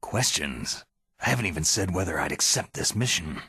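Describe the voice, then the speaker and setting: An actor playing a gruff, skeptical ex-soldier in a video game cutscene, with a terse delivery and a heavy rasp. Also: male voice, sits low, expressive, moderate pace, pushed and bright, no room sound, heavily acted. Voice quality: very husky, gravelly rasp. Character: gruff, defiant retired special-forces soldier on a covert mission.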